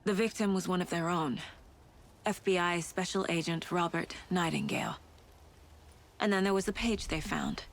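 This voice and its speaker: A woman acting an intuitive, composed FBI agent in a video game, speaking in an understated, deliberate way with clipped phrases. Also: on the low side, fairly even intonation, close to an everyday voice. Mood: focused, intrigued. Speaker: lead detective character.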